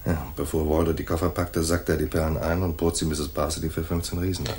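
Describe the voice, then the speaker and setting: A man's voice: extremely low pitch, level tone, fast talker, a little mellow, slight background noise. A man playing a laconic hardboiled private detective in a radio noir drama, dry and matter-of-fact. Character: laconic hardboiled male private detective narrating his case. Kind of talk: 1980s radio noir narration and dialogue.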